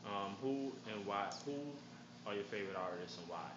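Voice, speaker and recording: A man's voice: fairly low pitch, normal speed, dull audio. Guy reading interview questions, kinda hesitant, echoey room and noisy background.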